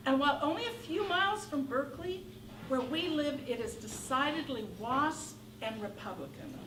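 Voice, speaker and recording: A woman in her sixties from Northern California telling a wry, animated comic monologue on a theater stage. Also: high voice, normal speed. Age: 60s. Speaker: solo performer. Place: theater stage with live audience. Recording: echoey room from far off, noisy.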